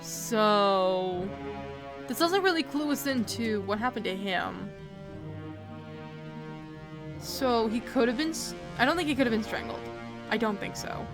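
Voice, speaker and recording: A young woman with a slightly high voice talks slowly through a game, thinking aloud and working through her guesses one by one. Faint game music plays under her commentary. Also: fairly bright tone. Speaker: let's play streamer. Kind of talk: casual gameplay commentary.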